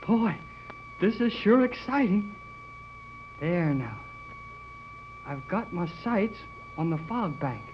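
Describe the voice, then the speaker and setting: An American man acting an eager, polite, plucky boy aboard ship in a 1930s radio serial, earnest and excitable. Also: high-pitched, slow pace, pauses often. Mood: eager, excited. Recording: muffled, noisy 1930s radio recording.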